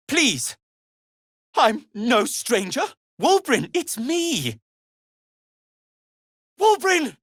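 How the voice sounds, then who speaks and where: An actor playing an anxious, devoted gnome inventor in a video game, speaking in a hesitant, politely formal way. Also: male voice, very high-pitched, a bit bright, breathy edge, no room sound, clean studio sound, heavily acted. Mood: excited, pleading. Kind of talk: video game character acting.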